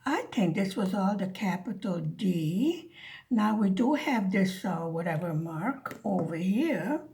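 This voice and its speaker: A woman's voice: medium pitch, animated, slow pace, a little mellow. A woman with a slight Hungarian-American accent, chatting casually and rambling to viewers while she demonstrates a craft. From United States. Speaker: craft vlogger.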